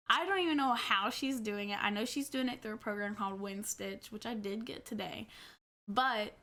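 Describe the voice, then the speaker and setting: A woman's voice: on the high side, a bit bright. A woman with a stuffy nose from a cold, chatting casually to camera from her couch at home and gushing, repeating herself. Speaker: cross-stitch vlogger.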